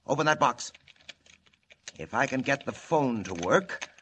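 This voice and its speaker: Casting: vindictive prison gang boss for vintage radio serial; calculating menace, lively pitch swings. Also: male voice, measured pace, slight background noise. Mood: scheming. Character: cunning, vindictive male gang boss, convict ringleader. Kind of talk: 1940s radio serial acting. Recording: muffled lo-fi old radio recording.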